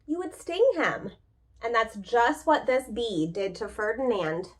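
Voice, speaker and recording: A woman reading a picture book aloud to young children as a preschool teacher, expressive and speaking to them directly with questions. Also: on the high side, a little slow.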